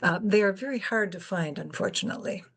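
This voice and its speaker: A woman's voice: medium pitch, lively intonation, fast, a bit bright. An older woman acting as moderator, introducing and interviewing a guest.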